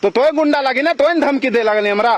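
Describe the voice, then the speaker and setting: A man's voice: very high-pitched, quick pace. A man with a Jharkhand accent in a heated, confrontational phone argument, challenging and repeating himself. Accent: Jharkhand, India. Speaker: local party representative. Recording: recorded phone call, crowd chatter behind.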